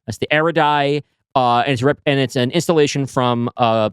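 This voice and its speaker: A man co-hosting a podcast, walking his co-host through his own screenplay and reading scenes aloud, with an animated storyteller's delivery and frequent fillers. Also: medium pitch, fairly quick, clear, resonant tone, no room sound, studio quality.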